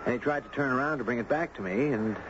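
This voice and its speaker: An actor playing an easygoing, puzzled dog owner who tells his story in a measured, conversational way in a 1970s radio drama. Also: mid-range voice, natural voice. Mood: storytelling. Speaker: actor narrating and playing the lead. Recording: dull, noisy old radio broadcast.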